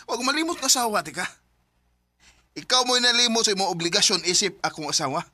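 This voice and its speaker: A man's voice: sits high, expressive, fast, sharp tone, exaggerated voice. A man acting a resentful, hurt young husband in a Filipino radio drama, tense and wounded, with a Cebuano accent.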